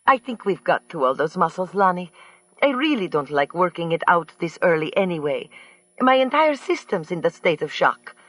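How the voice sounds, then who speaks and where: A woman's voice: fairly high pitch, expressive, measured pace, fairly bright tone, no room sound, voice pushed up. A fussy, gushing society woman with oddly tangled phrasing, put on by a male narrator. The voice sits well above his own and takes on a strange, altered tone. It is recorded dry and close. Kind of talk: audiobook character voice.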